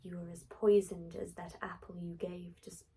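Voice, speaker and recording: A woman voicing a truth-telling magic mirror from a storybook, in a measured, formal manner. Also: lowish voice, little pitch movement, mellow, slightly rough, recorded from a distance, pitched below the performer's own voice. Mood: grave.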